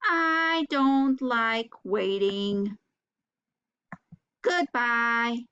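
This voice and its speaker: A woman's voice: fairly high pitch, drawn-out words, pushed and bright, smooth, muffled recording, heavily acted. A woman voicing an impatient, childlike little animal from a storybook, huffy about everything. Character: impatient small animals, grumpy about waiting.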